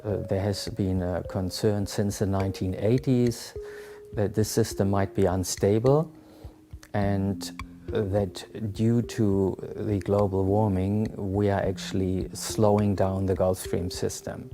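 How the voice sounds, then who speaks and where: Lowish, even-toned scientist man. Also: slow. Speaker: climate scientist in a video clip. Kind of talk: explanatory lecture.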